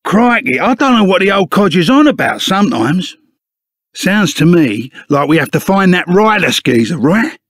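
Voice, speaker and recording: Brash Cockney ex-bandit, video game character, highish pitch, slow delivery, blunt banter, burly and good-hearted. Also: male voice, no room sound, clean studio sound, exaggerated voice. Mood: puzzled, gruff. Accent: London Cockney.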